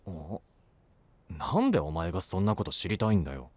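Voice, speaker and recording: Brusque young knight with wildly swinging pitch. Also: male voice, sing-song, fairly quick, a little mellow, dry recording. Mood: flustered. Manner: blunt, rough casual speech, tsundere. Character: brusque, easily flustered, earnest young male knight. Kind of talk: anime voice drama acting.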